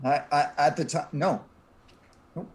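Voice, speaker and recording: A man with a fairly high voice takes part in a casual panel chat. He speaks hesitantly and conversationally. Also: steady tone, unhurried. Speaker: panel guest.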